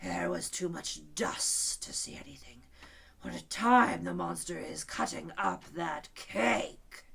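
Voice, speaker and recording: A woman voicing a sleepy, weary male lion in a storybook reading, speaking in a hushed, breathy drawl. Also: fairly low pitch, takes it slowly, exaggerated voice. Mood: drowsy.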